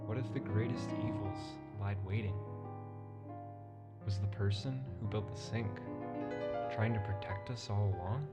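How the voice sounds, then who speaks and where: A man playing an obsessive, increasingly unhinged narrator in a deliberate, melodramatic radio drama monologue. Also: fairly low pitch, close to an everyday voice. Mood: fearful suspense. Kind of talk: scripted first-person radio drama monologue. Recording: loud music under the voice. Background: music.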